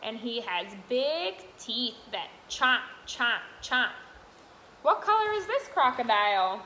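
A young woman speaks slowly to toddlers in a sing-song teaching manner, as if at storytime. Her pacing is unhurried, and she is recorded in an echoey classroom with faint room noise behind her. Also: a little high, big pitch swings, bright, forceful tone. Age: young adult. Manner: sing-song, addressing small children with simple questions. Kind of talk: children's read-aloud and teaching to camera. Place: indoor classroom, sitting on the floor.

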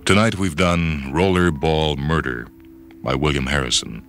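A man narrating a story for radio in a measured, introspective, dramatic manner. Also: a bass voice, noisy background. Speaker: reader and host of a radio short story program. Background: music.

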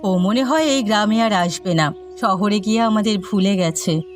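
A woman's voice: medium pitch, normal speed, faint music behind, lightly acted. A woman playing a caring, plain-spoken middle-aged village mother and wife in a cartoon moral story. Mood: concerned, reproachful.

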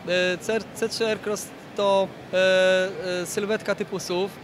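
A man in his thirties giving a promotional interview as a PR manager, speaking in a measured way with many drawn-out hesitations. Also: high voice, level tone. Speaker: car brand PR manager, interviewee. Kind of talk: promotional product presentation in an interview. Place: large indoor car show hall. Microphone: handheld interview microphone held toward him. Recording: noisy busy exhibition hall background.

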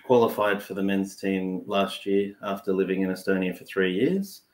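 A man's voice: low voice, normal speed, a little mellow. A man in his thirties with an Australian accent, talking casually as an interview guest. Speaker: cricket player and team manager. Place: small indoor room, seated on a couch. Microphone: shared video call microphone, at some distance. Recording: roomy echo on a video call.

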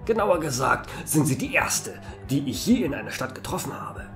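A man's voice: mid-range voice, sing-song, normal speed, distant mic, over music. An actor playing a wry, courteous older man in an audio drama. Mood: courteous charm. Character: old man Joe, courteous, wry, gallant friend.

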